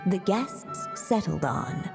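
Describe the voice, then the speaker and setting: A woman playing a stately, motherly witch who narrates a storybook tale in a video game, measured and theatrical. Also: lowish voice, very animated, vocal fry, music in the background, heavily acted. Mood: solemn narration.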